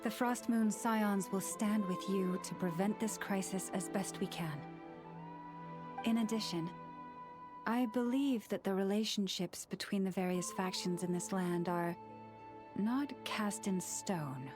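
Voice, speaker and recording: A woman plays a young priestess from a fantasy video game. She is solemn and resolute, with formal, measured phrasing at a middling pitch. Soft music sits underneath. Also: close to an everyday voice. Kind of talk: game voice acting.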